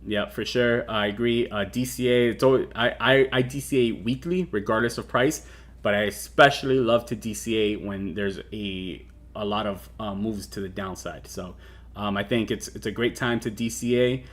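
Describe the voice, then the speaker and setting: A man's voice: fairly low pitch, fairly even intonation, moderate pace. A man in his thirties hosting a casual crypto livestream, talking loosely with lots of fillers and restarts. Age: 30s. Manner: rambling with many fillers and restarts. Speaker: crypto show host.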